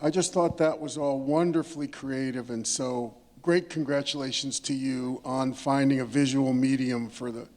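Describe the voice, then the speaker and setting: A man with a medium-pitched voice offers literary commentary at a panel discussion. He is emphatic, building each point by restating it in parallel phrases. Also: steady tone, normal speed, few pauses, darkish tone. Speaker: panelist speaking about poetry.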